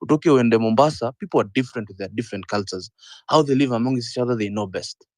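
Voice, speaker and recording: A man in his thirties with a Kenyan accent, speaking earnestly in a panel discussion, often pausing on "uh" and repeating his points. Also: mid-range voice, a little mellow, no echo. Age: 30s. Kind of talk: impassioned discussion, mixing English and Swahili.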